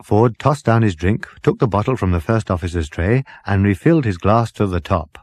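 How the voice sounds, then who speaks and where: A man's voice: on the low side, expressive, moderate pace, a little mellow, dry recording, clean studio sound. A man narrating comic fiction as an audiobook reader, working from a script.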